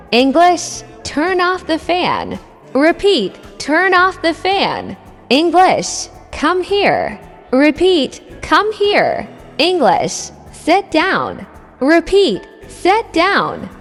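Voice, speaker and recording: A high-voiced woman leads a scripted beginner drill, slow and deliberate, saying each phrase twice. Her voice swoops widely up and down. A long reverb trails her words over faint music. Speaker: English teacher.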